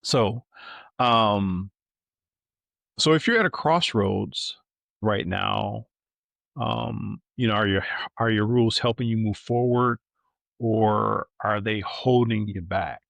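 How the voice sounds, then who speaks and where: Gravelly, low-voiced man talking casually. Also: slow pace, a bit bright, dry recording. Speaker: podcast co-host. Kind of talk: casual reflective podcast conversation.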